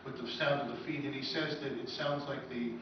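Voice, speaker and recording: A man's voice: medium pitch, steady tone, fairly quick. A man giving an informal, enthusiastic lecture as a radio historian to an audience. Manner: enthusiastic, admiring. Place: convention presentation room, indoors. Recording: roomy hall, far mic, dull, noisy.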